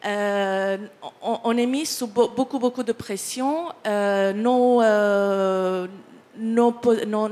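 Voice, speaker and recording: A woman's voice: mid-range voice, darkish tone. A woman who is not a native French speaker, talking as a picture researcher on a panel, hesitant with many fillers and restarts. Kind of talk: panel discussion. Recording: long hall reverb.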